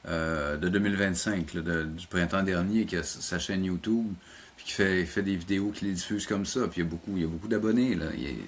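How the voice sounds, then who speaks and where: Middle-aged male teacher, Quebec French accent, lower voice, conversational interview guest who explains in halting, repeated starts. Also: fairly even intonation, a little hiss or hum. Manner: hesitant, repeats words while explaining. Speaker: college animation teacher and programme coordinator, interview guest.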